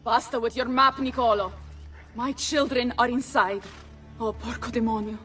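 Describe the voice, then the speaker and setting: A woman's voice: fairly high pitch, fairly even intonation, moderate pace, close to an everyday voice. An actress playing a proud, foul-mouthed Renaissance noblewoman with an Italian accent, bold and theatrical in a game cutscene. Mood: alarmed, angry. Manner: bold, brash, theatrical, peppers speech with Italian. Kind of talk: dramatic game cutscene acting. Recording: loud music behind voice. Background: game soundtrack music.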